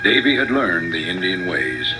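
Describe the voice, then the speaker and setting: A man narrates a storybook in a low, expressive voice with lively pitch. Loud music plays steadily beneath him. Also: normal speed. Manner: expressive, dramatic. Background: loud background music.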